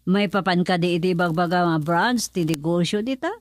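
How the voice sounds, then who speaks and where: A woman's voice: fairly low pitch, normal speed, lightly acted. A woman with a Filipino accent acting in a radio drama as an older, cautious, probing mother.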